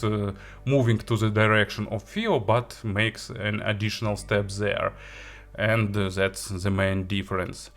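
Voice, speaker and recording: A man who is a non-native English speaker narrates a product review as an audio gear reviewer, in halting, hesitant phrasing. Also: lowish voice, fairly bright tone. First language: not English.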